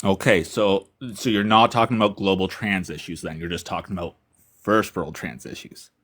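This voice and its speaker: A man with a very deep voice argues on a livestream. He presses his points through sarcastic, pointed questions. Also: steady tone, moderate pace, fairly bright tone. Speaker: livestream caller. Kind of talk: argumentative debate.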